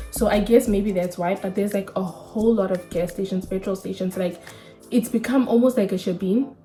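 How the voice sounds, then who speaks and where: Namibian girl in her 20s, chatty vlog talk, kinda mellow voice, faint music behind. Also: mid-range voice. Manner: candid, chatty, slangy. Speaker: vlogger. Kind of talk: casual sit-down vlog chat.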